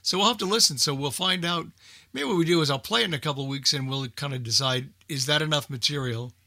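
A man hosting a casual, unscripted radio talk show, speaking in a halting way and repeating words as he thinks. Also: fairly high pitch, bright, forceful tone, no echo, clean studio sound.

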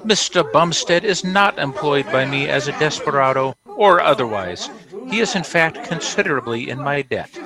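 An actor playing a pompous, indignant store proprietor in 1940s radio comedy, speaking formally and exactingly. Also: male voice, on the high side, lively intonation, normal speed, a crowd in the background. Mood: exasperated. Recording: vintage radio broadcast.

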